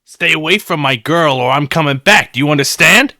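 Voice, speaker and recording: Male actor as a furious, protective father; loud, tense, threatening. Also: fairly high pitch, brisk, no echo, clean studio sound. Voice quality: loud, forceful.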